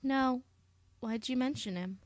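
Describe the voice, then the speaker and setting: A woman's voice: high voice, unhurried, no echo. A woman voicing a headstrong teenage schoolgirl who sounds bewildered and questioning, in a fan audiobook. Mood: uneasy, bewildered. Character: headstrong teenage schoolgirl, bossy but shaken.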